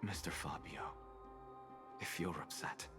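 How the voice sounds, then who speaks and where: Grave, low-voiced scholarly man. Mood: grave, somber. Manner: formal, measured. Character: scholarly man, grave, composed bearer of bad news. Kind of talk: video game voice acting. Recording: game audio with music behind.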